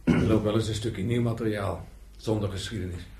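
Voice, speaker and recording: An actor playing a reflective, cautious craftsman in a radio drama, speaking in a measured, musing way. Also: fairly low pitch, fairly even intonation, mellow, close to an everyday voice.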